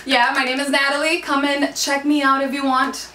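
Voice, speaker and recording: A young woman from the Dominican Republic, a guest in a casual vlog chat, explaining things in a relaxed way with many fillers. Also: high-pitched, normal speed, a little hiss or hum. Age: young adult. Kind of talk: casual chat, mixing English and Spanish. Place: indoor home studio with glitter backdrop. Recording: roomy and distant.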